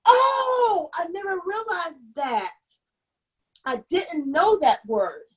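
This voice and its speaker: A young adult woman telling her own story as an interview guest, candid and animated, often restarting sentences and acting out what others said. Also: very high voice, takes it slowly, rough recording. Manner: animated, restarts often, acts out quoted voices.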